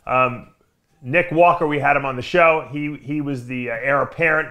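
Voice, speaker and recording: Energetic man hosting a talk show. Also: medium pitch, moderate pace. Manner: energetic, interjecting often. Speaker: host. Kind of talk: video interview talk show. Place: studio with branded backdrop.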